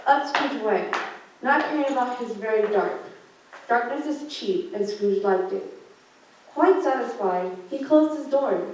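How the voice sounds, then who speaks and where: A young woman student reading scripted story narration for a radio play. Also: a little high. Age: teenager or young adult. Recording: echoey room, far mic, background noise.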